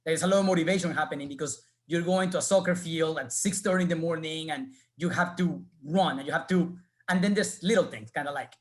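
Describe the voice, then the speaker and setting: A man from Colombia with a Colombian Spanish accent, speaking earnestly as an alumnus on a webinar panel. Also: a little high.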